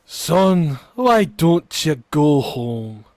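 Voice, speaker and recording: A man's voice: a little high, very animated, drawn-out words, a little mellow, no room sound, studio quality, heavily acted. A man playing a kindly, teasing policeman in a vintage-style radio play. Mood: fatherly. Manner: fatherly, teasing. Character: kindly, amused male beat cop. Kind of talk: 1940s radio acting.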